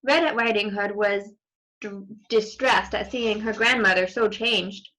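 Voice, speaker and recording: A woman's voice: medium pitch, a little slow, a bit bright, rough recording. A woman reading a children's story aloud expressively, with asides to young listeners. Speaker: storybook reader.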